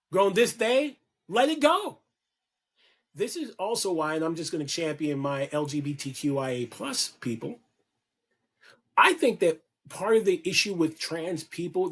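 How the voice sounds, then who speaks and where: Husky, high-pitched man preaching with passion. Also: fairly bright tone. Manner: preachy, emphatic, repeats lines for effect. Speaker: guest on a podcast, kink educator. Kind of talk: impassioned conversational monologue.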